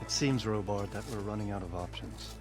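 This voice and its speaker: A man playing a stern, weary medieval lord in a game, giving orders in a measured, formal way. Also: fairly low pitch, over music, natural voice. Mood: grave, resigned. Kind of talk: dramatic game cutscene acting.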